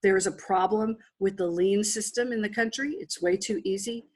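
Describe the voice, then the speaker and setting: A middle-aged woman from Texas, a podcast guest, telling her story in a candid, driven way with quick restarts. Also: medium pitch, a little mellow. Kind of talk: casual interview storytelling.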